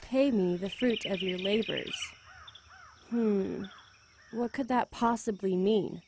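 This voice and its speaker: A woman's voice: mid-range voice, slow, noisy background. A woman playing a gloomy, practical, penniless witch in an amateur audio drama. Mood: pondering.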